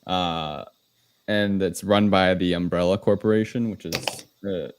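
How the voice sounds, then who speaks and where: A man's voice: deep voice, fairly even intonation, a little slow, a little hiss or hum. A man hosting a podcast, casually recapping a film in an informal, hesitant, loosely explaining way. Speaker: podcast host. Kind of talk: casual movie recap discussion.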